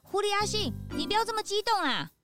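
A woman's voice: very high voice, sing-song, fast talker, music in the background. A woman voicing Peanut, an eager detective's assistant, in a children's audio drama. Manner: eager, fussing.

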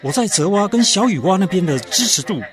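A man's voice: high voice, lively intonation, brisk, music in the background. A man playing a male toad who is the incumbent park director, talking to underlings like a worried, scheming politician in a radio drama. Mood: concerned. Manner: official, addressing underlings like a politician.

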